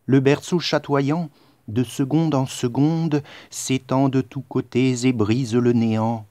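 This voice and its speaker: A man recites poetry in a solemn, declamatory way. His delivery is very slow and measured, broken into short phrases with pauses. The tone is dark and mellow, and the sound is close and dry. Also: medium pitch, fairly even intonation, no room sound. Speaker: poet reciting own poem.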